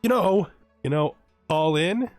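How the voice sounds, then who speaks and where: A man's voice: a little high, expressive, slow pace, darkish tone, no echo, faint music behind. A man hosting a let's play, thinking aloud in casual gameplay commentary, hesitant and often correcting himself, sometimes reading game text aloud.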